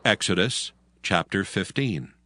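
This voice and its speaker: A man's voice: low voice, lively intonation, unhurried, sharp tone, dry recording. A man narrating scripture from a script in a solemn storytelling manner.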